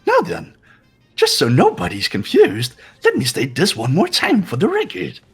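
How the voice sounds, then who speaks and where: A man's voice: high-pitched, huge pitch swings, normal speed, vocal fry, husky, full character voice. A man voicing a mocking, officious robotic bear for a fan-dubbed video game, in a theatrical, mock-polite manner. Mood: officious, smug. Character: gleeful, mocking robotic bear overseeing a deadly trial. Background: game music.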